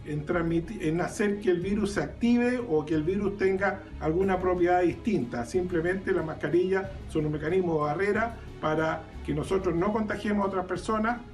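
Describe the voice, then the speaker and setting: A male doctor calmly explaining how masks work. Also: sits high, normal speed, few pauses, soft background music. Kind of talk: explanatory statement. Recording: distant from the mic.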